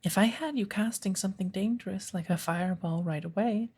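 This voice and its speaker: A woman playing a patient, playful witch of the woods who gently teaches an apprentice in a soft ASMR roleplay, with a breathy voice. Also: medium pitch. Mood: earnest, instructive. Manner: slow, measured, gently instructive.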